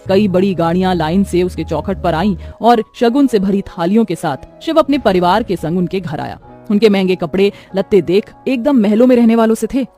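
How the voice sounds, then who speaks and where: Casting: female storyteller for scripted moral tales, medium pitch, faint music underneath. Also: animated, moderate pace, hardly any pauses, mellow.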